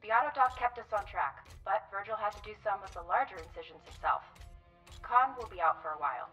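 Game role: female actor as a clinical, practical crewmate, mid-pitched, distant over the ship intercom. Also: fairly quick, pushed and bright, soft background music, close to an everyday voice.

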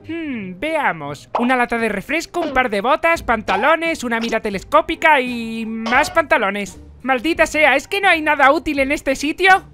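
A timid male sidekick from a cartoon game parody as acted in a fandub, whining at a very high pitch with a bright edge. He sounds hesitant and deferential, over faint music. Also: lively intonation, moderate pace, hardly any pauses. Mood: grumbling, hesitant. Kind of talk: comedy cartoon fandub acting.

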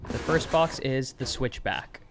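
A man's voice: medium pitch, expressive, a little slow, very noisy. A young man talking casually and cheerfully to the camera while camping outdoors in snowy mountains. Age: young adult. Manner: chatty and upbeat, talks to the camera. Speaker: vlogger. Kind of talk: casual vlog narration.

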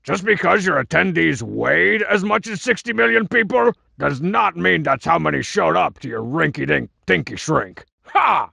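A swaggering cartoon villain from a video game, juvenile and full of himself. The voice is heavily gravelly and forceful, swooping up and down as it brags and mocks in slangy tough-guy style. It comes across as broad, exaggerated comic acting. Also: on the high side, animated, normal speed, hardly any pauses, no echo, studio quality, exaggerated voice. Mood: mocking, boastful. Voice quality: raspy, gravelly growl. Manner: bragging, mocking, slangy tough-guy delivery. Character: cocky, boastful cartoon wrestler-masked villain, juvenile troublemaker.

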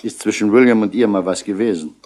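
A fairly deep-voiced actor as a middle-aged police commissioner in a vintage radio crime drama. He is laconic and courteous, yet quietly shrewd, and probes without hurry. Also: fast, background noise, close to an everyday voice. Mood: quietly questioning. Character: unhurried, shrewd male police commissioner from Paris. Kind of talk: 1960s German radio crime drama acting.

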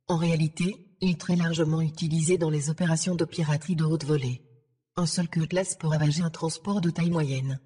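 A synthetic female voice of an AI assistant, giving formal, measured, deadpan scripted information. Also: low voice, slightly rough. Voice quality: synthetic text-to-speech voice. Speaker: AI ship assistant.

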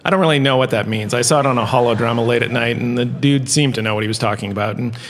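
A man's voice: medium pitch, fast talker, a little hiss or hum. A man delivering a theatrical comedic lecture as host, self-satisfied and wry, with dramatic phrasing and chatty asides. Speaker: host playing a university lecturer. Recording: echoing hall with long reverb.

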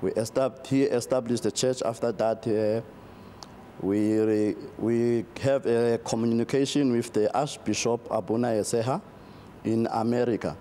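A middle-aged South African man, a pastor, answering interview questions slowly with many fillers and restarts, recorded in a TV studio on a lapel mic. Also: medium pitch, fairly even intonation, warm tone, slight background noise.

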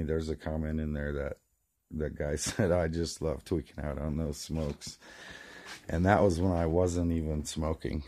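A hoarse man, about forty, rambling in a casual vlog, filmed on a handheld phone inside a small greenhouse. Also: extremely low pitch, warm tone. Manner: rambling, many restarts and fillers. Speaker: vlogger. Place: small plastic greenhouse, indoors. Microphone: phone camera held close.

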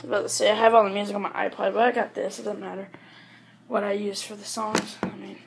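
A woman giving a casual, rambling home review in a halting, offhand manner. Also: mid-range voice, little pitch movement, creaky, background noise. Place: small bedroom at home, indoors. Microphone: handheld camera or phone.

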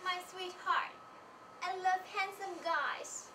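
A very high, slow, sing-song little storybook girl acted by a young woman, sweet and easily scared. It is an amateur stage skit. The sound is distant and echoey, with clear noise. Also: fairly even intonation. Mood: lovestruck. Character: dreamy young fairy-tale girl, sweet and easily frightened. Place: small presentation stage indoors. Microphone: far camera. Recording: echoey, noisy room, distant microphone.

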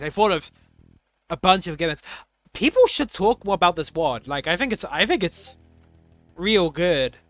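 A man's voice: fairly high pitch, bright, forceful tone, dry recording. A young man casually commenting on his own game levels as he plays, rambling and self-deprecating, with lots of fillers and restarts.

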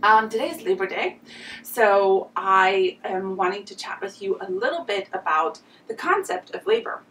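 A woman's voice: medium pitch, animated, moderate pace, fairly bright tone, distant mic, a little hiss or hum, low-quality recording. A woman in her thirties from Romania with a Romanian accent, talking earnestly to the camera in a reflective vlog and often restarting her phrases. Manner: earnest and gesturing, with many restarts. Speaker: vlogger.

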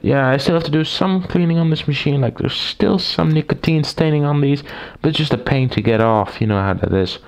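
A man with a raspy voice, recovering from a cold, casually reviewing a typewriter at his desk, rambling with fillers and restarts. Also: a little high, brisk, few pauses, poor audio. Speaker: typewriter collector reviewing a machine. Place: small room at a desk, indoors. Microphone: camera mic, speaker off-camera.

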